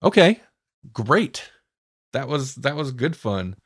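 A man's voice: medium pitch, big pitch swings, slow pace, fairly bright tone, breathy edge, no room sound, studio quality. A man hosting a podcast, chatting casually as he reviews stories. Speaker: podcast host.

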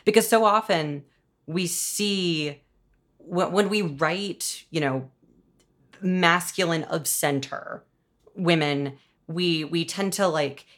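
A woman's voice: lowish voice, slow pace. A woman from the American South hosting a casual podcast chat, earnest and discursive as she thinks aloud. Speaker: podcast host, audio drama showrunner.

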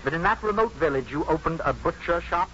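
Prosecutorial professor, pompous, accusing, formal, raised pitch, old radio drama, faint noise. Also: male voice, lively intonation, a little fast, bright, forceful tone. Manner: pompous, formal, pressing questioner. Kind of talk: 1950s radio drama acting. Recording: dull noisy 1950s radio recording.

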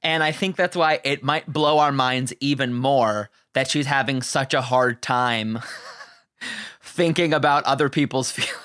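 A young man hosting a podcast, chatting in an animated, opinionated way with frequent restarts. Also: mid-range voice, measured pace, smooth, no echo, clean studio sound. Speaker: podcast host. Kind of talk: casual chat.

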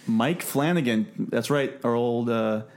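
A man with a middle-pitched voice hosts a loose, conversational podcast panel. He talks haltingly, repeating phrases as he works through his points and introduces segments. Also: darkish tone. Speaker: podcast host.

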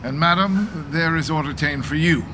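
A man acting a stern, unmoved nobleman in a Shakespearean radio drama, speaking in a formal, commanding, deliberate way. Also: fairly high pitch, background noise, natural voice. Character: stern, unmoved nobleman delivering royal orders.

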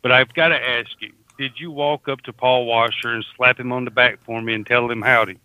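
A man's voice: mid-range voice, fairly even intonation, fairly quick, dry recording, phone-quality sound. A man with a Southern US accent co-hosting a podcast, chatting casually in a folksy, joking way with chuckles. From Mississippi, United States. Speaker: podcast co-host. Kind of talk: casual chat.

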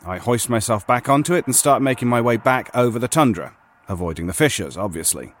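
An actor playing a stubborn, sardonic player in a surreal game, speaking conversationally in a naturalistic audio-drama style. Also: male voice, medium pitch, lively intonation, brisk, slight background noise, natural voice. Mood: wry, exasperated.

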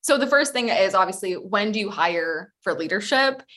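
Woman from Ukraine, recruitment consultant, mid-range voice, relaxed chatty delivery, video call audio. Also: mid-range voice, normal speed. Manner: chatty, with frequent small laughs and restarts. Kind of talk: conversational webinar presentation.

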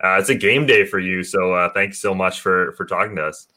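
A man's voice: sits low, steady tone, brisk, pushed and bright. A man hosting a casual sports interview, introducing and questioning the guest. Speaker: show host, interviewer.

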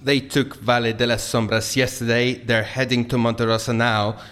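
An actor playing a deadpan, businesslike Kremlin official in an audio drama, speaking in a matter-of-fact way. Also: male voice, medium pitch, normal speed, lightly acted. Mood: grave, businesslike.

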